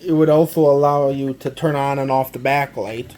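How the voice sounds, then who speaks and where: Halting man, noisy home recording. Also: mid-range voice, steady tone, darkish tone. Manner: halting, repetitive, step-by-step explaining. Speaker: amateur gadget demonstrator. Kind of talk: casual product walkthrough.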